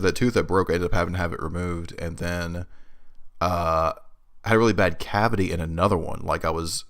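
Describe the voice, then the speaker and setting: A young man with a very low voice and plenty of vocal fry, talking loosely into his mic at home. He's getting over a head cold and rambles with fillers and restarts. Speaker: podcast host. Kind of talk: casual solo podcast update. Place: his room in a new apartment. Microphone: new home studio mic.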